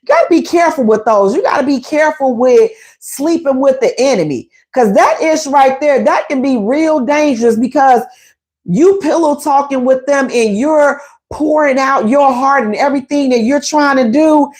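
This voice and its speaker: A woman's voice: sits high, moderate pace, a little mellow. A woman, hosting a casual relationship advice talk, emphatic and conversational, repeating her points.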